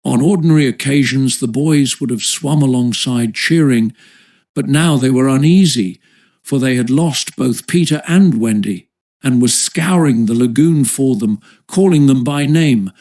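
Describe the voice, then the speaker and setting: A man's voice: medium pitch, a little slow, darkish tone. A man narrates a children's adventure audiobook in a mid-range voice. He reads literary prose as a storyteller would, following a written script. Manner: storytelling, literary read-aloud delivery.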